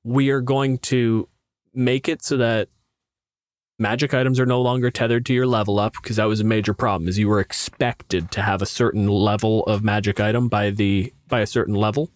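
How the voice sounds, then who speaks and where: A man's voice: fairly low pitch, steady tone, moderate pace, no echo, studio quality. A man co-hosting a podcast as a game master, chatting casually and bluntly about tabletop rules, with opinions and plenty of swearing.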